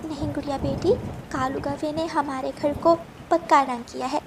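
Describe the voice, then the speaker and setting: A woman's voice: sits high, steady tone, normal speed, background noise, pitched above the performer's own voice. A woman voicing a cheerful, trusting mother sparrow in a children's cartoon, kindly and reassuring. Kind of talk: Hindi children's cartoon dubbing.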